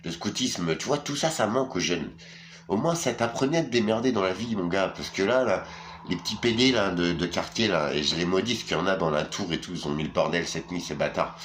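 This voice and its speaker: A man's voice: low-pitched, fairly quick, fairly bright tone, a little hiss or hum. A tired middle-aged man from France, ranting casually to camera, crude and rambling with many fillers and restarts. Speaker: vlogger.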